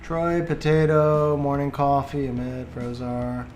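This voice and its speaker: A man giving lively live commentary on game replays and chatting with viewers, his voice getting worn from a long stream. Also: medium pitch, echoey room, faint music behind. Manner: animated, quick play-by-play, bursts of excitement.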